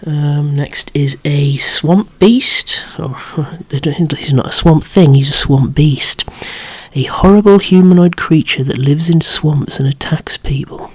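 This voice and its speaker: A person whispering an ASMR show-and-tell of a collection in a hushed, breathy, unhurried way, musing aloud with wry asides. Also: mid-range voice. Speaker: toy collector presenting a collection.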